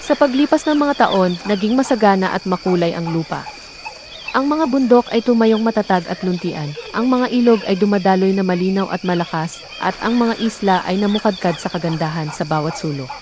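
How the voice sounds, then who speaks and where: Woman narrating a folktale, medium pitch. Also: brisk, background noise. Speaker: storyteller.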